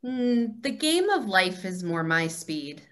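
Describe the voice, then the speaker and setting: Woman acting as a teasing, deliberate deity in a stage-reading comedy, slow delivery, middle pitch. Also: sing-song, fairly bright tone. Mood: wry, teasing. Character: God on a video call, wry and playful. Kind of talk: reader's theatre comedy. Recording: video call reader's theatre.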